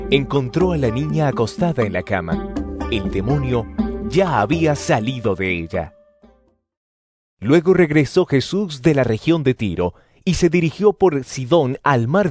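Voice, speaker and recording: A man narrates a scripture audio drama from a script. His mid-pitched voice has lively, varied intonation, and music plays clearly behind him.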